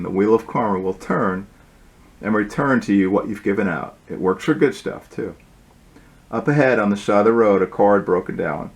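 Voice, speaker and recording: Man reading a storybook aloud. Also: fairly low pitch. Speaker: author reading own book aloud. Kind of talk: storybook read-aloud with asides.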